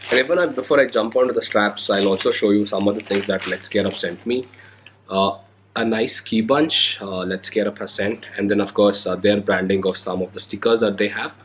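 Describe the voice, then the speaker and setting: A young man with an Indian English accent talks through a casual unboxing, his voice a little worn from a cold. He pauses often with hesitant fillers, and his voice sits on the lower side. A faint hiss runs under the recording. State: recovering from a cold. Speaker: motovlogger. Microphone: handheld recorder or camera mic.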